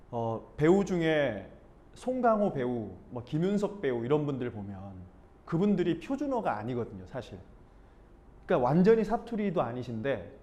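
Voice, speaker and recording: A man from Busan giving an informal class talk as a speech and expression instructor, animated and slipping into acted-out dialect examples. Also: a little high. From Busan, South Korea.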